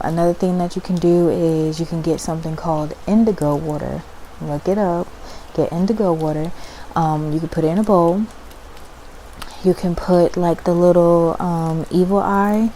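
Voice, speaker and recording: A woman giving a soft-spoken, informal ASMR explanation in a soothing manner, often checking in with the listener. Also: on the low side, fairly even intonation, normal speed, rough recording. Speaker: ASMR host and spiritual practitioner. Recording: faint background noise and ambience.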